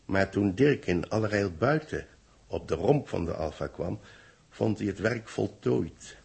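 Grave tired official, low gravelly voice, old 60s radio play, solemn and measured. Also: male voice, expressive, a little mellow, heavily acted. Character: grave, weary male official announcing tragedy to a doomed world. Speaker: radio drama actor. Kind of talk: 1960s radio drama acting, solemn announcement. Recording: vintage 1960s radio drama recording.

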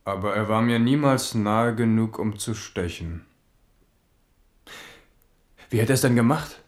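Guy playing a brooding french king, lowish voice, bitterly amused, old radio play. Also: unhurried, close to an everyday voice. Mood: probing. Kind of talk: German radio drama acting.

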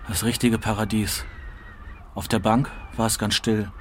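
A man giving a dramatic reading of literary prose in short, deliberate phrases. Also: fairly low pitch, little pitch movement, a little hiss or hum. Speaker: literary reader.

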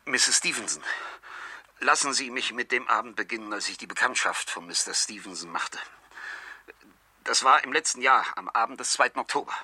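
An earnest male chemist in a radio thriller. His voice is very bright and tense with a rasp in it, deliberate and formal as he insists on being heard. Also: medium pitch, expressive, no room sound, heavily acted. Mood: grave, hesitant. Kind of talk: 1970s German radio thriller acting.

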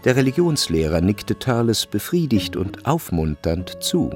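An actor as the wry literary narrator of a radio play, delivering scripted narration. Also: male voice, fairly low pitch, big pitch swings, measured pace, faint music behind. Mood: wry, narrating.

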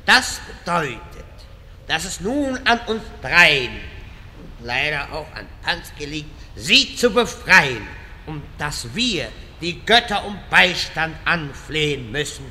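A man's voice: a little high, very animated, slow pace, sharp tone, big-room sound, heavily acted. A man playing a pompous, long-winded old wizard in a parody audio drama, with a grandiose, rambling delivery. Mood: ominous, grandiose.

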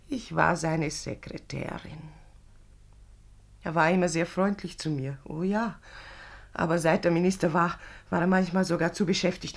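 An actress playing a lovelorn, wounded female secretary who confesses haltingly and intensely in a 1950s German radio play. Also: lowish voice, slightly rough. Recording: 1950s radio drama recording.

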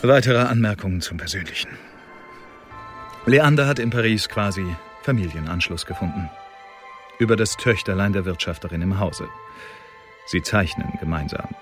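An actor playing a dry, bureaucratic Elizabethan secret agent, reading out reports in a formal, matter-of-fact way for a radio drama. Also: male voice, low voice, moderate pace, pauses often, faint music behind, close to an everyday voice. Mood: dry, official.

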